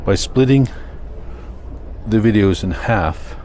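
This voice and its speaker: Deep, unhurried older Canadian man. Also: mellow, slight background noise. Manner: halting, thinking aloud, self-correcting. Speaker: vlogger. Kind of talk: casual rambling vlog monologue.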